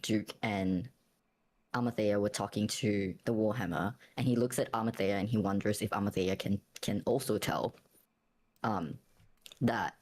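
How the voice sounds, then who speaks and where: A man casually narrating his character's actions in a tabletop roleplaying game, pausing to think as he goes. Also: lowish voice, a little mellow.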